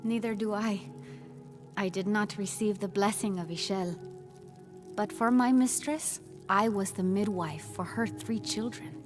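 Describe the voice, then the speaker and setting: Female actor for selfless weaver woman in a game, mid-range, candid storyteller, clear music underneath. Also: mid-range voice, unhurried, close to an everyday voice. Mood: confessional. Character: selfless, steadfast outcast woman, former midwife, weaver. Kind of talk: video game dialogue acting.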